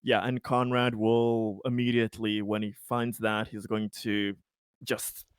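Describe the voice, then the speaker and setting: Clear-voiced man playing a tabletop game. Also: on the low side, level tone, a little slow, no echo, studio quality. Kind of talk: casual role-play narration and chat.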